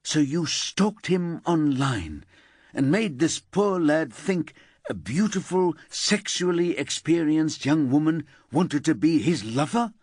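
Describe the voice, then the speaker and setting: A man's voice: fairly high pitch, animated, slow pace, darkish tone, slightly rough, no echo, studio quality, voice pushed up, exaggerated voice. A man voicing a harsh female police detective who speaks sternly. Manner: stern and cutting.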